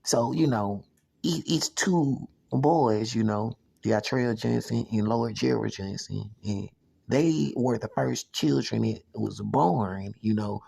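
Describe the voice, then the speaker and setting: A man in his thirties talking in a rambling personal monologue, filling every pause with "you know.". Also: medium pitch, vocal fry, a little mellow, breathy edge, no room sound. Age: 30s.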